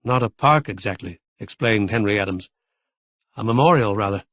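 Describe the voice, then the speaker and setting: A man voicing a calm, formal young man who speaks in a measured, solemn way. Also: fairly low pitch, dry recording, voice pushed down, close to an everyday voice. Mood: earnest.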